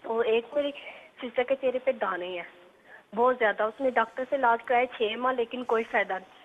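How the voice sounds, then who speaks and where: A hoarse woman from Pakistan calling in, hurriedly listing her complaints. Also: a little high, brisk. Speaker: caller. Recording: thin phone line with faint noise.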